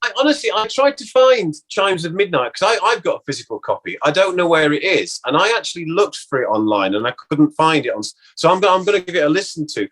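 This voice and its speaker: A man chatting casually as an interview guest, reminiscing and thinking aloud in a halting way. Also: fairly high pitch, very animated, a bit bright, poor audio.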